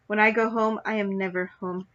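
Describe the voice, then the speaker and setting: Brief: young woman poet, flat steady delivery, deliberate, slightly muffled lo-fi recording. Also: medium pitch. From United States. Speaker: spoken word poet. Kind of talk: spoken word poetry reading. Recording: dull lo-fi recording.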